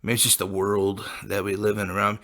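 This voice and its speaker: A man's voice: lowish voice, monotone, fairly quick, fairly bright tone. Give me a laid-back man in his late twenties, hosting a podcast, rambling with lots of likes and you-knows. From US Midwest. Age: late 20s. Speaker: podcast host. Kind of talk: casual rambling monologue.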